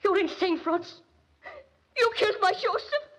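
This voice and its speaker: An actress playing a frightened, pleading woman, melodramatic and anguished, with a breathy, husky voice in 1940s radio style. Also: extremely high pitch, expressive, unhurried, a bit bright, exaggerated voice. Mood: horrified. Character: frightened, pleading woman menaced by mad suitor. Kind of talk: 1940s radio drama acting. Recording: muffled 1940s radio recording.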